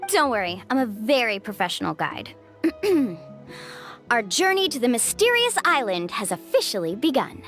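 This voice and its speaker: An actress playing an upbeat, confident young island guide in a video game, friendly and earnest. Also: female voice, on the high side, big pitch swings, normal speed, soft background music. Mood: playful, confident. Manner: bright-spirited, friendly, earnest.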